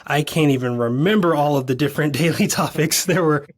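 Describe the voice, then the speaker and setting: A podcast host with a slightly raspy voice, tired from lack of sleep, asking long, musing questions with enthusiasm. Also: mid-range voice, a little fast. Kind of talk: conversational interview.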